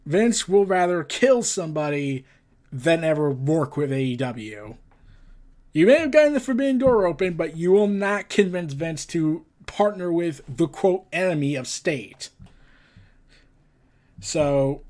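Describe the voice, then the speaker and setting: A man's voice: on the high side, darkish tone. A man hosting a pop culture news show, mixing read-out reports with casual, opinionated and exasperated commentary.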